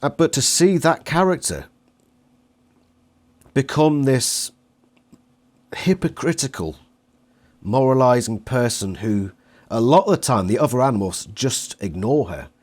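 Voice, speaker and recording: A man's voice: medium pitch, animated, measured pace, long pauses, a little mellow. A man talking casually as an interviewer and reviewer in a fan discussion.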